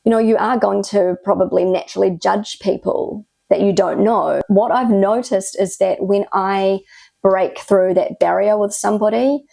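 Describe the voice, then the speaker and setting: A woman's voice: medium pitch, steady tone, moderate pace, gravelly, dark tone, no room sound. A woman from New Zealand with a New Zealand accent, talking earnestly to camera as a vlogger, with reflective "you know" asides. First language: English. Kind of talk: casual talk to camera.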